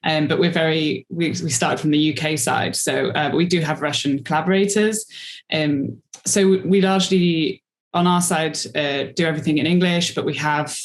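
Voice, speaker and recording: A woman panelist on a video call giving a measured, unscripted answer with frequent ums and self-corrections. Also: low-pitched, fairly even intonation. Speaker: webinar panelist.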